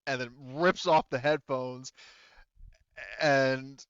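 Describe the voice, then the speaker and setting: A man's voice: medium pitch, steady tone, takes it slowly, a bit bright, clear, resonant tone, no room sound, muffled recording. A man narrating a tabletop game as game master in an informal, sweary, amused way.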